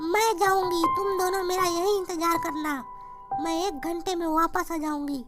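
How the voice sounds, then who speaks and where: A woman voicing a fretful but brave auntie sparrow in a children's cartoon, concerned and expressive, with music behind her. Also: very high voice, normal speed. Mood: earnest. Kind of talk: Hindi children's cartoon voice acting.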